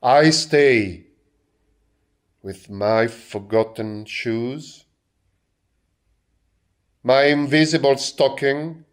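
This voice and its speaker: A man reciting poetry in a solemn, deliberate manner. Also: mid-range voice, clean tone. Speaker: poetry reader.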